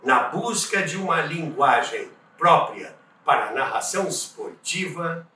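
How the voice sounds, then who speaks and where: A man's voice: fairly high pitch, expressive, measured pace, a crowd in the background. A man with a Brazilian Portuguese accent narrates a scripted documentary as host, in an emphatic storytelling manner that addresses the listeners. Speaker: podcast host and narrator of radio history. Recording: roomy echo, distant from microphone.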